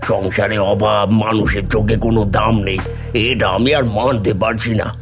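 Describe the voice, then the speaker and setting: A low-pitched, resentful village man acted by a man from a cartoon fairy tale. He grumbles and plots like a jealous younger brother, with faint music underneath. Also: little pitch movement, normal speed, close to an everyday voice. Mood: scheming, greedy. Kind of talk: Bengali moral-tale cartoon dialogue.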